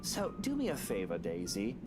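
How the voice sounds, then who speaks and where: A man's voice: fairly low pitch, wildly swooping pitch, moderate pace. An actor playing an arrogant, irritable but grudgingly caring man in a video game. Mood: irritated. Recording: game dialogue over loud music.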